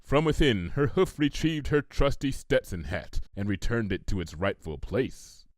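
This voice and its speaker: A man narrates a story in an expressive, scripted style. His mid-pitched voice moves with lively intonation and sounds close and dry. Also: a little slow, dry recording.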